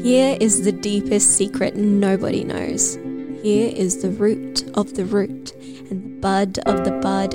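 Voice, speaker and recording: A woman reading a poem aloud in a slow, measured way, with long pauses between phrases. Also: mid-range voice. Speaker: poetry reader. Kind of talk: slow, measured poetry recitation. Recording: music laid prominently under the voice. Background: prominent music.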